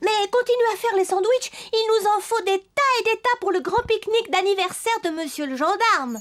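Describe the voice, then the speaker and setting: An actor voicing a cheerful, eager little toy in a French children's cartoon, expressive and friendly. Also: extremely high pitch, fairly quick. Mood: playful. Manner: bright-eyed, expressive, friendly. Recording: music behind the voice.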